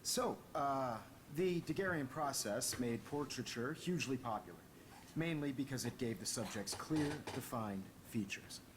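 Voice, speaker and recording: A man's voice: mid-range voice, animated, measured pace, noisy background, lightly acted. A man playing a smug, condescending art teacher in a video game, with a theatrical, lecturing manner and a menacing edge. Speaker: photography teacher.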